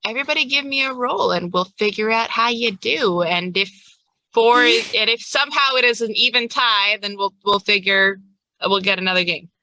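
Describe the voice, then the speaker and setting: A woman's voice: on the high side, normal speed, sharp tone, no echo, muffled recording. A woman running a tabletop game as game master, chatting casually with her players.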